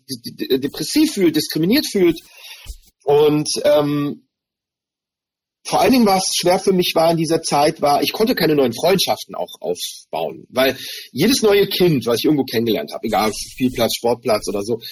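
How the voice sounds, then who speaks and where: A man, a native Hebrew speaker raised in Germany, giving a thoughtful, earnest interview and often pausing to search for his words. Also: fairly high pitch, slightly rough. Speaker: interview guest, rapper. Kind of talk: personal reflective interview talk.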